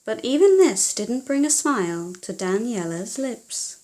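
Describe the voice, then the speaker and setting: A woman reading a children's storybook aloud in a theatrical, expressive way, as if speaking directly to young listeners. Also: on the high side, a little slow, slight background noise, poor audio. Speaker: children's audiobook reader.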